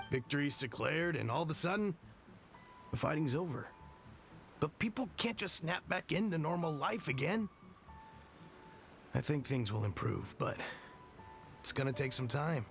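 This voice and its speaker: An actor playing a friendly, earnest soldier in a video game, hearty and deferential. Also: male voice, on the high side, creaky, a bit bright. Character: friendly, earnest soldier eager to serve his captain.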